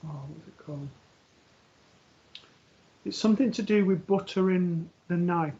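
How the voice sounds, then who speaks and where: A man working as a medium, giving a live reading in a gentle, reassuring way, often pausing with ums and restarting sentences. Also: high voice. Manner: hesitant, full of ums and restarts. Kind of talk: live-streamed spiritual reading. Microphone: earpiece for live broadcast. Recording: dull lo-fi stream audio.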